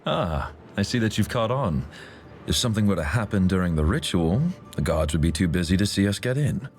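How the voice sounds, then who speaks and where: A sardonic schemer from a fantasy game. His voice is very deep, and he talks wryly, like a conspirator laying out a plan. Faint music runs behind him. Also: animated, brisk. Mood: conspiratorial. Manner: wry, conspiratorial. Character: wry, scheming male infiltrator, sardonic ally. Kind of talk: video game dialogue acting.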